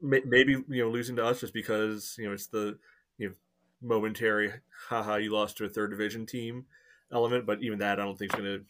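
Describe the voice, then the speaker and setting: Hesitant man with a lowish voice. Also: fairly even intonation, fast, a bit bright. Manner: hesitant, heavy use of "you know" and "uh". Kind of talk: casual podcast chat.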